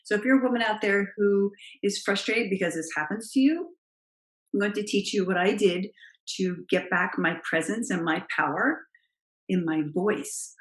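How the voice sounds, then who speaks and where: A middle-aged woman with a naturally low voice speaks in an emphatic, expressive coaching manner, addressing the camera directly. Her pitch is moderate for a woman. The room around her is noticeably echoey. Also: moderate pace. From Italian heritage. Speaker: confidence coach. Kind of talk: direct-to-camera advice vlog. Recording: roomy, echoey room sound.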